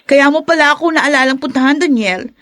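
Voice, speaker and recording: A woman's voice: high voice, brisk, fairly bright tone, no echo, studio quality. A woman playing a sassy girlfriend in a radio drama who teases her boyfriend with playful exclamations. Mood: teasing.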